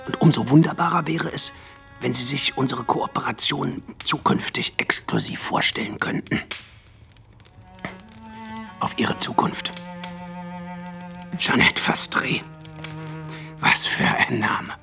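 Radio-drama film distributor, heavy fry, swooping pitch, silky flatterer who drops into reverent breathy murmurs. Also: male voice, slow, pauses often, faint music behind, exaggerated voice. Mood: rapturous, hushed. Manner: flattering, theatrical, breathy near-whispers. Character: suave, effusive male film distributor courting an actress. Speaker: actor in a radio play.

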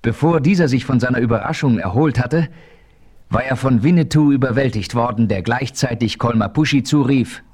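An actor narrating a radio play as a steady frontier hero, telling his story in a measured way. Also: mid-range voice, husky.

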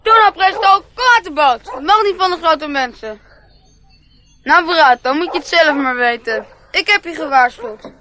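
A woman's voice: high-pitched, lively intonation, normal speed, noisy background. A woman playing a dreamy, earnest little boy in a Dutch radio play, speaking in a childlike way. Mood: scolding, urgent.

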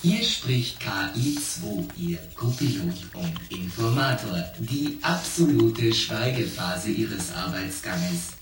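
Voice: mid-range voice, expressive, slow, hardly any pauses. An actor voicing a formal artificial intelligence copilot making announcements in a science fiction radio drama. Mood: formal, impassive. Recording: echoey, distant, loud music behind.

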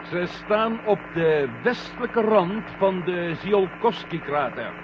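An actor playing a headstrong, curious astronaut with a commanding, impatient manner in an old radio drama. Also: male voice, high voice, animated, takes it slowly. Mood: businesslike. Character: headstrong, curious male astronaut on a moon mission. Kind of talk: 1970 Dutch radio drama acting. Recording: dull, noisy old radio drama recording.

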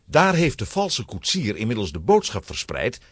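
A man narrating a scripted children's story with a husky voice. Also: lowish voice, wildly swooping pitch, normal speed, no echo, studio quality.

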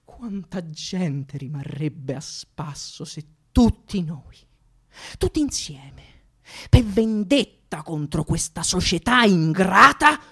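A woman performs an impassioned thief with a Roman accent, giving a stage monologue as a mock funeral oration. The delivery is declamatory and rhetorical, with big dynamic swings around a medium-pitched voice. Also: fairly bright tone, slightly rough, exaggerated voice. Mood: hushed, grave. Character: impassioned Roman thief eulogizing a dead comrade.

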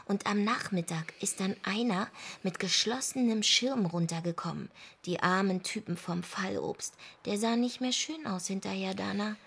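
Husky, brooding young daughter. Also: female voice, mid-range voice, normal speed, a bit bright. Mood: reading, animated. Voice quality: husky, breathy. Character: brooding, defiant daughter pining for her twin brother. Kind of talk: intimate radio drama acting.

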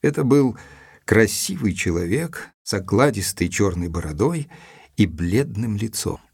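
A man's voice: lowish voice, lively intonation, a little slow, gravelly, a little mellow, husky, dry recording, clean studio sound. A man narrating an audio drama in the first person, reading scripted literary prose. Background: faint music.

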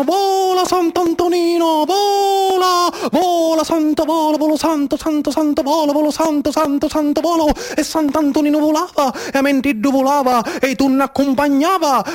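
A high-voiced man with a Sicilian accent performs a stage monologue in the manner of an oral storyteller. His delivery is rhythmic and incantatory, built on repetition and dramatic pauses. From Sicily, Trapani area. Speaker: actor and storyteller.

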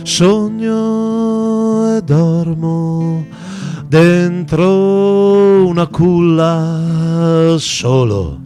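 A man's voice: high voice, very slow, mellow. A man singing a ballad to guitar accompaniment. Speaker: singer and guitarist. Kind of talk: slow sung ballad with guitar. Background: plucked guitar.

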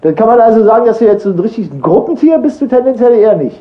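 A man's voice: very high voice, animated, fast talker, dull audio. A man hosting improvised casual radio chat, rambling and teasing in a mock-earnest way. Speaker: radio talk host.

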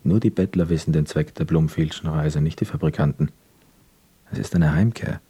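An actor playing a poor, wistful young man who narrates a literary radio drama in a measured, reflective manner. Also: very deep voice, husky, exaggerated voice. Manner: measured, reflective, hushed inner asides.

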